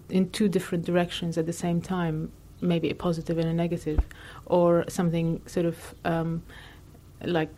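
Reflective low-voiced woman artist. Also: warm tone. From Lebanon. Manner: reflective, thinking aloud with many fillers. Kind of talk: interview answers.

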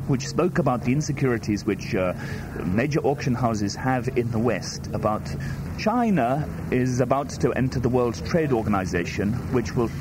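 A man's voice: mid-range voice, background noise. A man born in Wales whose first language is Welsh, answering interview questions as an artist in a hesitant, circling way with many restarts.

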